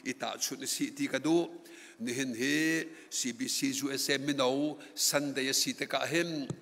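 A man's voice: medium pitch, fairly even intonation, moderate pace, fairly bright tone. A middle-aged man preaching a sermon from a pulpit microphone in a large church hall, rising to emphatic passages. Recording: long church hall reverb.

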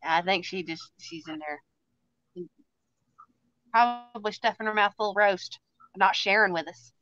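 A woman's voice: mid-range voice, a little slow, sharp tone, no echo, lo-fi sound. A woman in her thirties with a Southern US accent, chatting and joking casually while hosting a craft livestream. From Tennessee, USA. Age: 30s. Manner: chatty, joking, self-mocking.

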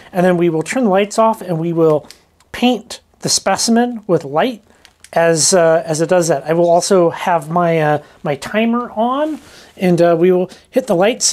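A woman with a fairly low voice talks through a hands-on demonstration in a casual vlog style. She is informal and thinks aloud as she works. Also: darkish tone. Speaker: rockhounding vlogger.

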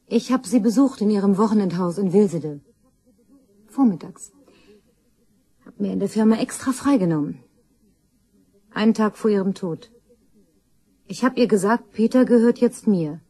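An actress playing a blunt, brazen young mistress in a crime radio play, flippant and colloquial. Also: female voice, mid-range voice, dark tone, breathy edge.

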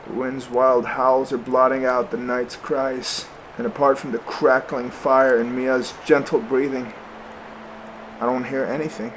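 A man's voice: mid-range voice, little pitch movement, measured pace, slight background noise, natural voice, low-quality recording. An actor playing a wary young man who narrates in first person, hesitant and full of ums, haunted by nightmares. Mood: uneasy. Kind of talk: audio drama narration.

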